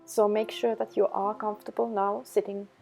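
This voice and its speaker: A woman leading a guided meditation, giving instructions in slow, measured phrases. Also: medium pitch, flat delivery, gravelly, a little mellow. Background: background music.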